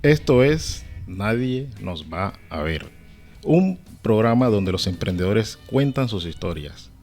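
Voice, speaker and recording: A man's voice: on the low side, lively intonation, slow pace, a little mellow, soft background music. A man with a Caribbean Colombian accent from Cartagena, co-hosting a conversational podcast. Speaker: podcast co-host. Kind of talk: casual podcast chat with scripted intro.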